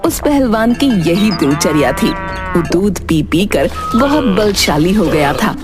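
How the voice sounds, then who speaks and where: A woman's voice: medium pitch, sing-song, unhurried. A woman narrating a scripted folk tale as the storyteller. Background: music.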